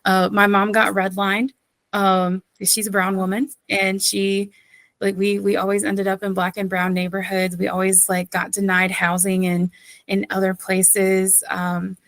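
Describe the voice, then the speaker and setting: Woman pastor from southeastern North Carolina, a podcast guest; easy storyteller who laughs often, mid-pitched voice, dry close sound. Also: completely flat delivery, measured pace, a bit bright, dry recording. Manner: chatty, laughs often, many fillers. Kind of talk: casual interview storytelling.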